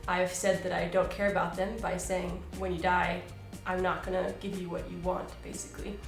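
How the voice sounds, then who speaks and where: A woman's voice: fairly low pitch, little pitch movement, normal speed, creaky, echoey room, faint music behind. A woman missionary asking and answering questions in a casual, thoughtful way. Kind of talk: casual Q and A chat.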